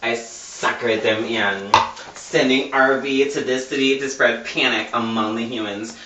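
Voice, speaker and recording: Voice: medium pitch, fairly even intonation, measured pace. A person reading a novel aloud casually in a mock-dramatic, giggly way. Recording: roomy, distant mic, muffled, noisy.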